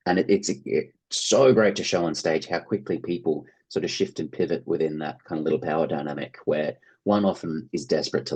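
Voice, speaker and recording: A man's voice: rumbling low, darkish tone, slightly rough, rough recording. An Australian man answering interview questions in a thoughtful, discursive, conversational way. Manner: thoughtful, discursive, hedging phrasing. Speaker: interview guest, playwright and actor.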